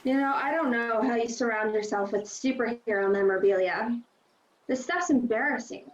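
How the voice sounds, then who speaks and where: An actress playing a sullen, reproachful grown daughter in a fan-made drama. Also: female voice, fairly high pitch, normal speed, a little hiss or hum, lightly acted. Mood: indignant. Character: exasperated, indignant adult daughter of retired heroine. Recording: roomy, dull home call audio.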